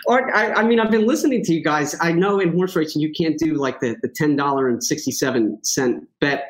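A man's voice: a little high, a little fast. A man speaking as a podcast guest in a casual chat, rambling with many fillers and joking at himself. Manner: rambling, lots of fillers and restarts, self-joking.

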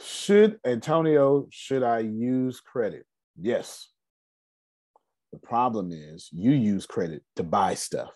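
A man in his forties giving a casual, energetic finance seminar talk, asking rhetorical questions and answering them himself. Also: mid-range voice, takes it slowly, no room sound, studio quality. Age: 40s. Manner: loose, energetic, repeats key points. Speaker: finance speaker.

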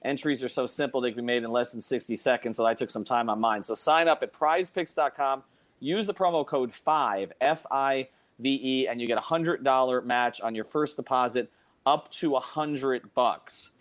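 A man's voice: mid-range voice, moderate pace. A man hosting a sports talk show in a casual, conversational style. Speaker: podcast host.

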